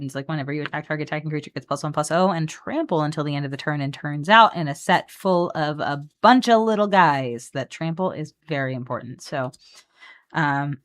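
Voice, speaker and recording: A woman chatting casually on a live game stream, playful and animated as she thinks out loud. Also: low voice. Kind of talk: casual live-stream chat while building a card deck.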